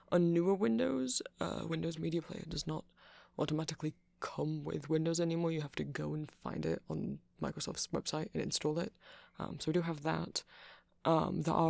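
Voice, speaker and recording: A man playing a nerdy vampire in an ASMR roleplay, rambling through explanations in a hesitant, meandering way with a creaky voice. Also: fairly high pitch, a little mellow, dry recording. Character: nerdy male vampire, rambling tech enthusiast, gentle boyfriend. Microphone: close mic, dry.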